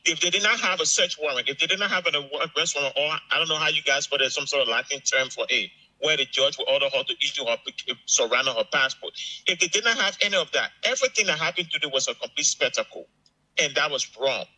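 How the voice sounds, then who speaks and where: A man's voice: a little high, lively intonation, quick pace, pushed and bright, rough recording. A middle-aged man with a Liberian accent giving emphatic, unscripted political commentary as a guest analyst, repeating phrases for stress.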